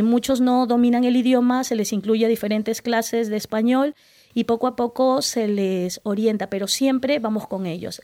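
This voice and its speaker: Warm earnest woman on a panel. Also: a little high, dry recording, studio quality. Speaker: foundation worker, former intern. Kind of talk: panel conversation.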